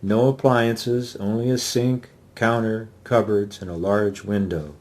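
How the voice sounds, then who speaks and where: Man reading a novel, lowish voice. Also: fairly even intonation, a little slow, a little mellow. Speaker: author reading aloud. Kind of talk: dramatic reading of a first-person novel.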